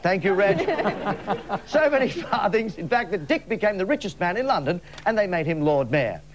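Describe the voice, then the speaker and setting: A man's voice: sits high, very animated, normal speed. An Australian man hosting live TV comedy, telling a mock bedtime story with campy, cheeky asides, on a noisy recording. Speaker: comedy show host and storyteller. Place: television studio, live. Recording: noisy live TV studio sound.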